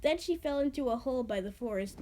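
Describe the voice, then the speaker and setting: Woman, fairly high voice, amateur dramatic reading, self-deprecating, stumbles, casual jokey asides. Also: lively intonation, quick pace, warm tone. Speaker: amateur reader of own creative writing.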